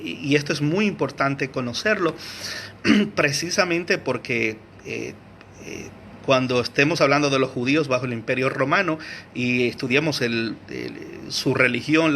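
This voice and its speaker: Bright-voiced man lecturing with many fillers. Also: mid-range voice, normal speed, slight background noise. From Venezuela. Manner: hesitant, many fillers and restarts, searches for words; clears his throat often. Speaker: teacher. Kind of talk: unscripted history lecture.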